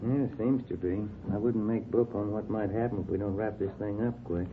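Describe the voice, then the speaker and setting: An actor playing a plain-spoken, cautious police detective in a vintage radio crime drama. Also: male voice, fairly low pitch, normal speed, natural voice. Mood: matter-of-fact, probing. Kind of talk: 1950s radio drama acting. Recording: tinny, noisy vintage radio recording.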